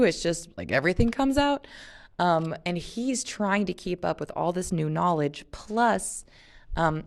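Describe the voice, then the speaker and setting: A woman reading a story aloud in an expressive audiobook style, with casual, conversational commentary as she leads the discussion. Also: lowish voice. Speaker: reader leading a read-aloud and discussion.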